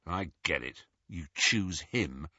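A man voicing a resentful teenage boy wizard who shouts and sneers bitterly. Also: deep voice, expressive, takes it slowly, no room sound, dull audio, voice pushed down, exaggerated voice.

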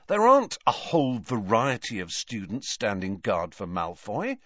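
An eager, headstrong teenage boy wizard from a storybook, acted out by a male reader. The delivery is insistent and very animated in pitch, on a dry, slightly dull recording. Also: moderate pace, no room sound, exaggerated voice. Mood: certain.

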